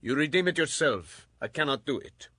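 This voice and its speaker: Bright-voiced, cautious kinsman. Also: fairly high pitch, animated, moderate pace, slightly rough, dry recording, clean studio sound, full character voice. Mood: guarded, decisive. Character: cautious, self-protective kinsman, a townsman. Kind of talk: dramatized scripture acting.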